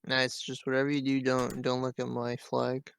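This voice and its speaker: A man's voice: mid-range voice, completely flat delivery, normal speed, creaky, a bit bright, a little hiss or hum. A young man co-hosting a podcast, chatting casually with friends. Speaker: podcast co-host. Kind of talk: casual chat among friends.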